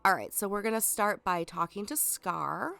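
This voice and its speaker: A woman's voice: medium pitch, normal speed, gravelly, sharp tone, faint music behind. Stuffed-up woman narrating a game walkthrough. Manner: chatty, helpful, talks the viewer through each step. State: stuffed up from a small cold. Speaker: video game walkthrough creator.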